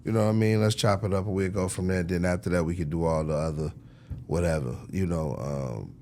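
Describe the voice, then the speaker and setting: A man's voice: sits low, fairly quick, dark tone. An American man being interviewed as a record executive, talking casually in slang with lots of conversational tags.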